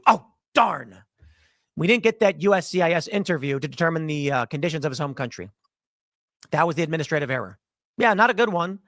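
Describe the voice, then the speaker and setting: A man's voice: high-pitched, fairly bright tone, dry recording, clean studio sound. A man from Chicago delivering an argumentative, emphatic political commentary monologue, often restarting his sentences.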